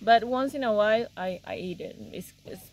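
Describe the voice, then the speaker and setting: A woman's voice: a little high, normal speed, muffled recording, slight background noise. Young Argentine-born woman chatting outdoors. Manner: repeats words while searching for them. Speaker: visiting guest. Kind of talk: casual outdoor chat. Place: rural yard outdoors.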